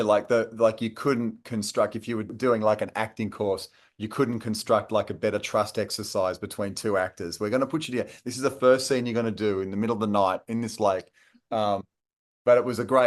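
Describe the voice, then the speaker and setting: Lower-voiced man, animated interview talk. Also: fairly quick. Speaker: actor. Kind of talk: press junket interview.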